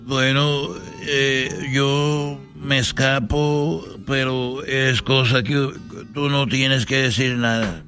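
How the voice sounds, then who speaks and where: A man playing a parody Mexican ranchera star, a stubborn, defensive younger brother who talks haltingly in a Mexican accent. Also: medium pitch, little pitch movement, sharp tone. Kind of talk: comedic impression in a sketch. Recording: music playing behind the voice.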